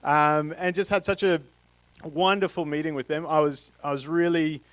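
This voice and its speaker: A man in his thirties with an Australian accent, speaking as a guest at a church and holding a handheld mic, halting and full of fillers and restarts. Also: a little high, fairly even intonation, fairly bright tone, smooth, no echo, low-quality recording. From Queensland, Australia. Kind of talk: church talk to a congregation. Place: church stage with a live congregation.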